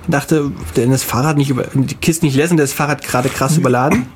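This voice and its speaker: A man co-hosting a casual podcast, telling travel stories in a halting way with many fillers. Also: mid-range voice, steady tone, slight background noise. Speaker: podcast co-host. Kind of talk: casual chat.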